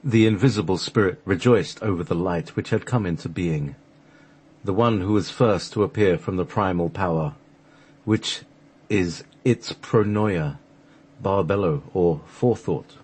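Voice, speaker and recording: A man reading scripted radio play narration in measured, solemn phrases, with a husky voice. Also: low-pitched, darkish tone. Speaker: radio show host and narrator.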